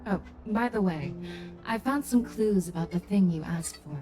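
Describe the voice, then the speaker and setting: A woman voicing a composed, grave female official with a measured, formal delivery in a game drama. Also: medium pitch, animated, over music, close to an everyday voice. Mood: matter-of-fact.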